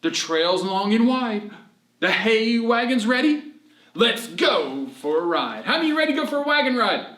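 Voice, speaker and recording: Children's storyteller: man, high-pitched, animated and encouraging, recorded at a distance. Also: moderate pace. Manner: animated, encouraging, asks questions and answers them. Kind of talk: read-aloud story lesson for children. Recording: roomy echo, distant microphone.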